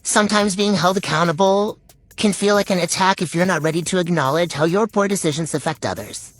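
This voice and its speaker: A woman's voice: lowish voice, a little fast, dry recording, faint music behind. A woman speaking as a social media creator, delivering an advice monologue to her viewers.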